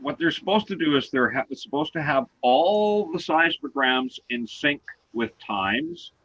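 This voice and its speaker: A man with a loud voice, co-hosting an online talk show and patiently explaining things step by step. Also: on the high side, expressive, measured pace, dry recording, muffled recording. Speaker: co-host explaining seismograms.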